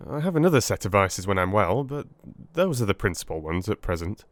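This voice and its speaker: A man playing a polite, curious former army doctor in a theatrical audiobook, with wry, expressive, gentlemanly delivery. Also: on the low side, a little fast, no echo. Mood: wry, confessing. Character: weary but curious young ex-army doctor, polite, droll. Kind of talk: theatrical audiobook character acting.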